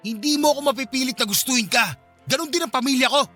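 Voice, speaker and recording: A man's voice: very high-pitched, quick pace, bright, forceful tone, soft background music, exaggerated voice. A man playing a resentful, cold young husband in a Filipino radio drama, speaking in a dismissive, accusing way. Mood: bitter, resentful.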